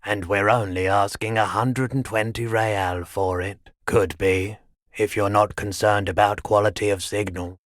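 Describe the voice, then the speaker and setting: Worn-down shopkeeper, video game dialogue, low-pitched, very slow delivery, understated, resigned. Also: male voice, fairly even intonation, fairly bright tone, no echo, studio quality. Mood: dry, salesmanlike. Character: haggard, low-key pawnshop keeper, weary and indebted.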